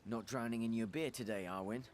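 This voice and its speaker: A man's voice: medium pitch, a little fast, lightly acted. A man playing a stern, cautious fantasy adventurer in a video game, speaking formally and curtly. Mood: sceptical, curt. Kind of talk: dramatic video game dialogue.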